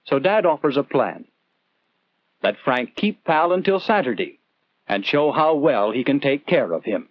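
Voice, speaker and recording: A man's voice: mid-range voice, sing-song, a little slow, dry recording. 1940s educational film narrator, man, lo-fi soundtrack. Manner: earnest, conversational, rhetorical questions to the viewer. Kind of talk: 1940s instructional film narration, scripted storytelling.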